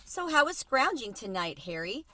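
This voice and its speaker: A woman's voice: fairly high pitch, very animated, moderate pace, bright, forceful tone, pitched above the performer's own voice. A woman voicing a talkative male city mouse in a children's storybook, breezy and scornful, with casual, emphatic big-city patter. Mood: breezy, chummy.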